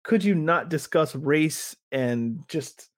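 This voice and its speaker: Animated man rambling about sport. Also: on the high side, slow. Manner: rambling, animated, many restarts and fillers. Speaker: podcast co-host. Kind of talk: casual sports chat.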